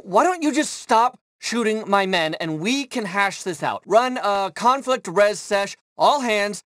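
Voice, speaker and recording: Excitable, swooping tech villain. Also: male voice, high voice, a little slow, dry recording, clean studio sound. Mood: nervous, placating. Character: vain, jittery tech villain, doting creator of an AI. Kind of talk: scripted video game acting.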